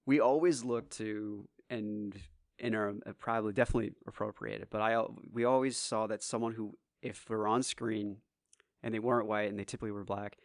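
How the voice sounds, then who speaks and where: Man, 40s, low voice, slightly hoarse, rambling casual chat, dry close sound. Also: steady tone, fairly quick, no echo. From United States. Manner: loose and rambling, swears freely.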